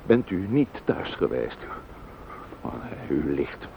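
An actor playing a grieving, indignant widowed neighbour in a radio play, formal and cutting, with a Netherlands Dutch accent. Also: male voice, a bass voice, very animated, takes it slowly, gravelly, full character voice. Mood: accusing. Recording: dull, noisy old radio play recording.

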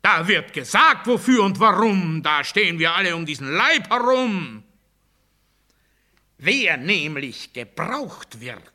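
High, piercing ranting demagogue. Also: male voice, heavily acted. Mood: measured, ominous. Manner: declamatory, rhetorical, swinging between hushed muttering and loud oratory. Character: ranting male demagogue in a cell, delusional and commanding. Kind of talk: German radio play monologue.

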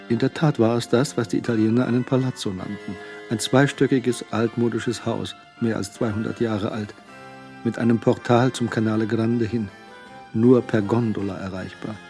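An actor narrating a radio crime drama in a cool, sly, scripted manner. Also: male voice, fairly low pitch, dark tone, natural voice. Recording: studio radio play with music.

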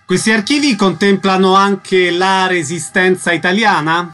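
A man's voice: high-pitched, measured pace, pushed and bright. A man hosting a radio interview, reading announcements and asking short questions.

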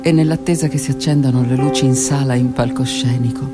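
A woman performing her own stage monologue, slow and dramatic, with pauses and hushed passages. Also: rumbling low, fairly even intonation. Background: music.

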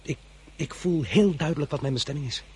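An actor playing a confident, ambitious young officer in a radio drama. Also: male voice, on the high side, brisk, darkish tone, husky. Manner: confident, grandiose. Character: young ambitious officer, grand and self-assured.

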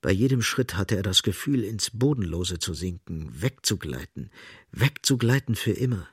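A man's voice: on the low side, animated, moderate pace, breathy edge, dry recording. A man narrating a literary radio play in a studio. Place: dry, close studio.

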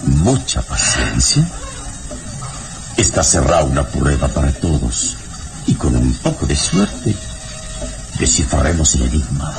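An actor playing a calm, daring hero who talks in a measured, commanding way, in an old radio drama. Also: male voice, very deep voice, gravelly, music in the background, exaggerated voice. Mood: calm, firm. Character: serene, heroic man, calm and daring. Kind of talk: 1960s radio drama acting. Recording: noisy old radio recording.

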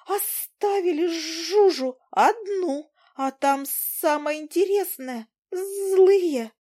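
A tiny, nosy fly girl who chatters in a shrill, squeaky voice. The fly is excitable and gossipy, and her voice sits far above the reader's own low register. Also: drawn-out words, heavily acted. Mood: excited. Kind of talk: audiobook character voice.

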